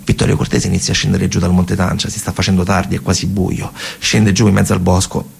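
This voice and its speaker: A man narrating a radio theatre monologue dramatically, in colloquial, short phrases with repetitions and a husky voice. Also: sits low, little pitch movement, quick pace. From Italy. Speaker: storyteller actor.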